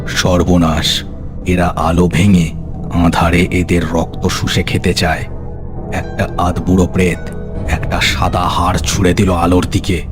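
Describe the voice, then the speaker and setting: A man with a low, deep voice narrates a scripted ghost story in a dramatic storytelling style. Music plays clearly behind him. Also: level tone, a little slow.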